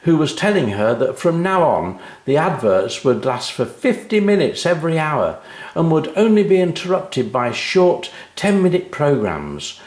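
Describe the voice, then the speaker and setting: A man reading his own children's story aloud in an animated storytelling style. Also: mid-range voice, roomy sound.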